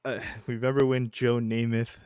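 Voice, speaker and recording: A mid-pitched man, close and dry on the mic. He rambles through his stories, stumbling and restarting, and keeps breaking into laughter. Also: little pitch movement, moderate pace, darkish tone, clear, resonant tone, no echo. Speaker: podcast co-host. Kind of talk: casual podcast chat.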